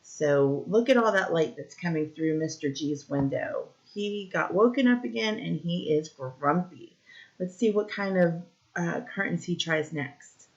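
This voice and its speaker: A woman with a slightly low voice reads a story to young children in a teacher's style. She explains things in simple terms and keeps stopping to ask questions. The recording sounds a little dull and lo-fi. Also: lively intonation, unhurried, darkish tone. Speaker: teacher reading a picture book aloud.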